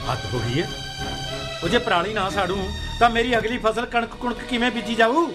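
A man's voice: high voice, moderate pace, over music, close to an everyday voice. A man acting a stubborn, hot-tempered Punjabi farmer landlord in a radio play, combative and sarcastic. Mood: indignant, sarcastic.

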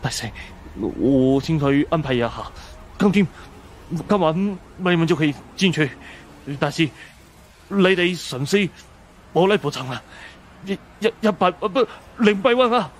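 A nervous rich businessman in an audiobook, with a high, flustered voice. He is deferential and beseeching, over faint noisy ambience. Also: animated, measured pace. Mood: desperate pleading. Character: anxious, pleading wealthy businessman, deferential. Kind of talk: audiobook character acting.

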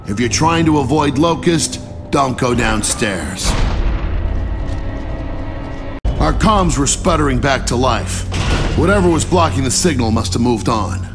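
A man's voice: medium pitch, expressive, normal speed, natural voice. An actor playing a matter-of-fact, weary male lieutenant giving testimony in a video game. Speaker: soldier character, lieutenant. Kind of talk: video game cutscene acting, testimony narration. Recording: music behind the voice.

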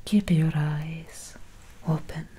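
A young woman playing a gentle, melancholy Victorian vampire doctor in a breathy, soothing ASMR whisper, close to the microphone. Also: sits low, steady tone, full character voice. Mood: calm, caring. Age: young adult. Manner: slow, soothing, hushed. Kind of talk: soft-spoken ASMR medical roleplay.

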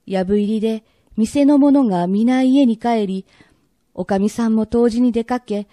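A woman narrating a period radio drama in a formal, measured, old-fashioned storytelling manner. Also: on the high side, dark tone, dry recording.

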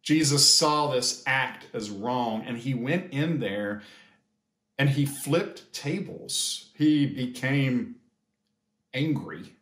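An American man in his fifties giving a measured, sermon-like pastoral address with deliberate pauses. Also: medium pitch, a bit bright. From United States. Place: small indoor sitting room or office. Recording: echoey room.

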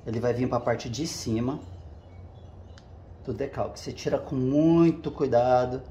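A man's voice: mid-range voice, unhurried, warm tone, slight background noise. Man demonstrating a task in an echoey garage. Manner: explains step by step while working with his hands, often checking with "tá?". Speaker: channel host. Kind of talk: casual how-to demonstration. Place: home garage with the door open.

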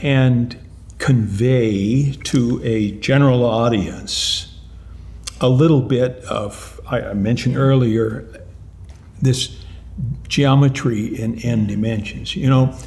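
An older man, a retired mathematics professor, answering interview questions in an animated way, often restarting and repeating words. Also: medium pitch, slow pace. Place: lounge-like room with armchairs and lamps, indoors.

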